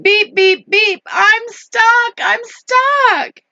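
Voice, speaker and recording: Lady putting on a tiny friendly truck from a kids book, squeaky high n bright, pleading plaintive calls. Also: very slow, gravelly, exaggerated voice. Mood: pleading, distressed. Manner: high, plaintive, repetitive calling. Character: friendly little blue truck, small, eager, pleading. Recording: echoey room, dull lo-fi sound.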